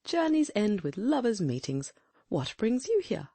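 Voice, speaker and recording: A woman voicing a keen, commanding male detective with a brisk, inquisitive, exclamatory manner in an audiobook. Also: medium pitch, very animated, dark tone, no room sound.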